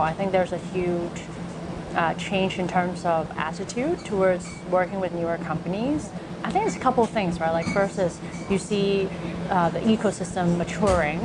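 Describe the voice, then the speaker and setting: A woman who co-founded a startup, chatting casually in an interview and peppering her talk with "like" and "you know". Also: fairly low pitch. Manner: animated, frequent fillers like "like" and "you know". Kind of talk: conversational interview chat. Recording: loud background noise.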